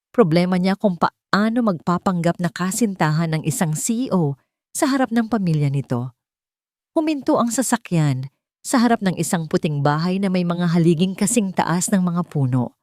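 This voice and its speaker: Low-voiced woman narrating a dramatic story. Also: darkish tone, no room sound, studio quality. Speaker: storyteller of Tagalog romance audio stories.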